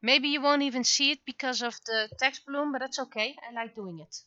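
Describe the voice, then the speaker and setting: A woman gives casual, think-aloud commentary as she works on digital art. Her voice is bright and forward at a middling pitch. It sounds close and dry, through a slightly lo-fi microphone. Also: fairly even intonation, a little fast, no echo. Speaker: livestreaming webcomic artist. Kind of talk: casual livestream commentary while drawing.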